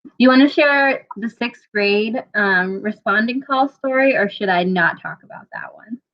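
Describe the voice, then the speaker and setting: A young woman hosting a casual interview, chatty, teasing and quick to laugh. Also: on the high side. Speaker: interview host. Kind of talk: casual video-call interview. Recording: muffled lo-fi video call audio.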